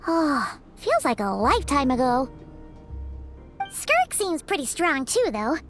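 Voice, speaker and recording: A woman voicing a tiny, cheerful, chatty fairy companion in an anime video game, with a squeaky childlike voice and perky manner. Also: very animated, slow, soft background music, full character voice. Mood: curious, musing. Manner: perky, talks about self in third person.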